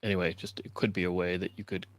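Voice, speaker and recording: Man, fairly low voice, casual chatty host, dry close sound. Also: level tone, fast talker, dry recording. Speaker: host asking questions. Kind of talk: casual chat.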